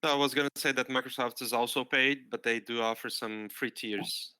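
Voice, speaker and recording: A man moderating an online project presentation, reading out audience questions in a relaxed way. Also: medium pitch, fairly quick, clear, resonant tone, no echo, poor audio.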